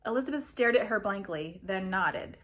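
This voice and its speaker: A woman reading a story aloud as an expressive narrator. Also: medium pitch, moderate pace. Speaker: reader of a story.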